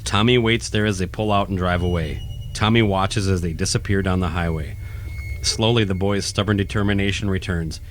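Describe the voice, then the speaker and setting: A man's voice: low-pitched, moderate pace, slight background noise. A man reading scripted narration for a horror radio play. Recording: faint music behind the voice.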